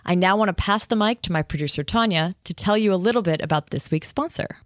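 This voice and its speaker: Woman host; medium pitch, dry close sound; upbeat, conversational. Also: no echo. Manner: upbeat and chatty, with quick laughs. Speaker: podcast host. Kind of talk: interview host chat.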